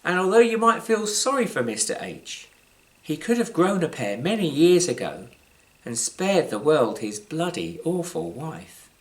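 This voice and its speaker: A British man reads his own short story aloud in a measured, wry way, with deliberate pauses and varied emphasis. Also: on the high side, very animated, low-quality recording. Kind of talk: scripted literary storytelling, live reading.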